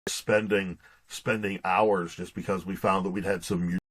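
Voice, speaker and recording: Low-voiced man in a halting, reflective interview. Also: flat delivery, fairly bright tone. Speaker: guest author being interviewed. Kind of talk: casual interview chat.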